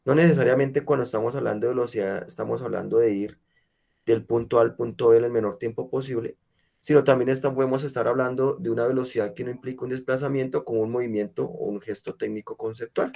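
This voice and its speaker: A man's voice: mid-range voice, steady tone, quick pace, vocal fry, darkish tone. A man teaching a physical education class while he has a cold, explaining step by step and checking in with his students. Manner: explanatory, many rhetorical checks. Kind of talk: online class lecture.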